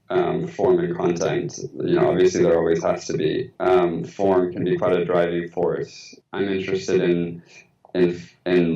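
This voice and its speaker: A man's voice: deep voice, mellow. A man with an American accent, a guest on a phone-in interview, thoughtful and hesitant with many fillers. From Mexico. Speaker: guest writer. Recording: tinny echoey phone line.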